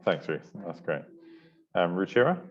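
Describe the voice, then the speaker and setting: A man's voice: low-pitched, sing-song, moderate pace. A native English-speaking man moderating an online workshop, hesitant and apologetic with many fillers. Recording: dull lo-fi call audio.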